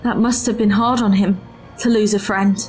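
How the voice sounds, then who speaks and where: A woman's voice: mid-range voice, fairly even intonation, normal speed, a little mellow, faint music behind, lightly acted. An actress playing an earnest, principled woman companion in an audio drama, indignant and let down. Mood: troubled. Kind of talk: full-cast audio drama acting.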